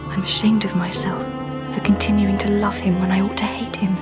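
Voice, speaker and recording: A woman's voice: medium pitch, flat delivery, brisk, loud music behind the voice, close to an everyday voice. A woman playing an earnest, determined young Victorian wife in a radio drama, speaking in an articulate, intense way. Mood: wounded, resolute. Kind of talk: period radio drama acting.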